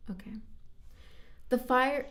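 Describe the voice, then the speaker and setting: Halting woman narrator rehearsing lines. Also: high voice, sharp tone. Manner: halting, stumbles over words. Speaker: amateur voice actor reading narration. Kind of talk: scripted narration rehearsal with retakes.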